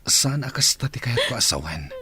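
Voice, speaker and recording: An actor playing a young Filipino man who reasons calmly in a radio drama, his voice husky. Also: medium pitch, moderate pace, dry recording, exaggerated voice. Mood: calming, firm. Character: calm, reasoning young man soothing an upset friend.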